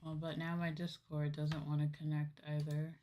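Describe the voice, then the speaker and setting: A woman's voice: deep voice, level tone, normal speed, mellow, a little hiss or hum. A woman livestreamer chatting casually and thinking aloud, muttering a little hesitantly to herself. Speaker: VTuber livestreamer.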